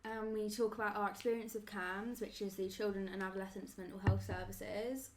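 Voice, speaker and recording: A woman's voice: medium pitch, little pitch movement, fairly quick. Earnest eighteen-year-old woman explaining. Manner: earnest, explanatory, with likes and fillers. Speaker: group member, guest. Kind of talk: casual group discussion. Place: meeting room.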